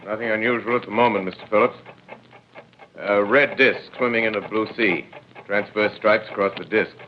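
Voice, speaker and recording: A man's voice: lowish voice, steady tone, close to an everyday voice. A man playing a dry, skeptical astronomer. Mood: calm. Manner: measured, dry, dismissive. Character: calm skeptical older male astronomer. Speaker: professor in a radio play. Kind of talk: 1930s radio drama acting. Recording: dull old radio broadcast, hiss.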